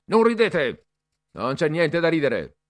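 A man's voice: on the high side, very animated, slow, no echo, pitched above the performer's own voice. A man voicing a bullying young male cadet who barks harsh, sneering orders and mocks the boys. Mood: barking, bullying. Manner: barked orders, sneering shouts.

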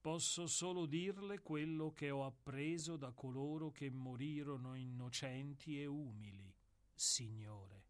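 An actor in a radio play, voicing a somber, philosophical executioner who speaks in a grave, measured and deferential way. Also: male voice, mid-range voice, natural voice. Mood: solemn. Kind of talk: radio drama acting.

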